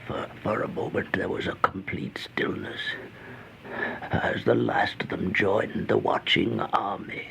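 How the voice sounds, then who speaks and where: An actor playing a terrified old man in a radio horror monologue, theatrical, with halting gasps and cries. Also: low voice, fairly even intonation, vocal fry, pushed and bright, in a whisper, full character voice. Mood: hushed horror. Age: older. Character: terrified old man recounting a deadly plague of flies. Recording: dull vintage radio recording with music.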